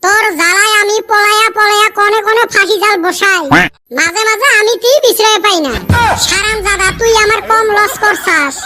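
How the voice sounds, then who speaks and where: A man's voice: very high-pitched, moderate pace, heavily acted. A man voicing a grumbling village man in a cartoon, speaking a northern Bengal village dialect and cursing often. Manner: cursing, complaining, lively rural banter. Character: squeaky-voiced village man, grumbling and quick to curse. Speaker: cartoon character.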